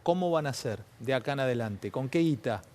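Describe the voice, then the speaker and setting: A man's voice: medium pitch, expressive, brisk, a little mellow. A man with an Argentine accent hosting a TV talk show, questioning his guest in a chatty, pushy way full of slang. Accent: Argentine Spanish. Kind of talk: informal TV interview. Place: TV studio.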